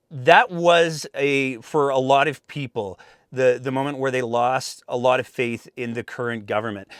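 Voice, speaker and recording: A man's voice: dry recording, studio quality. A young man hosting a political interview, asking careful, hedging questions. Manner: careful, hedging questions with lively pitch swings. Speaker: podcast host.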